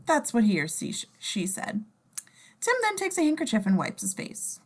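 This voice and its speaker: A woman's voice: fairly high pitch, wildly swooping pitch, moderate pace. A woman reading scene descriptions in a playful, slangy way, mixing scripted narration with casual joking asides.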